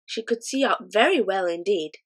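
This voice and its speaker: Give me a woman narrating a children's storybook with a mid-pitched voice. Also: lively intonation, moderate pace, husky. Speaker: audiobook reader.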